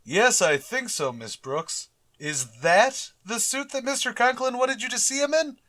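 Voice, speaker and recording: A man's voice: very high-pitched, lively intonation, a little slow, sharp tone, exaggerated voice. A man playing a mild, naive schoolteacher, polite and a little hesitant, in an old-time radio sitcom style. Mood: polite, earnest.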